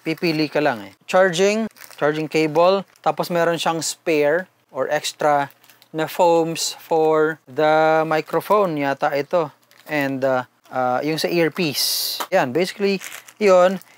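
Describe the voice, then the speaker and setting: A man's voice: a little high, fairly even intonation, slow, smooth. A man with a Filipino accent doing a casual, conversational unboxing and product review, mixing two languages as he talks informally to viewers.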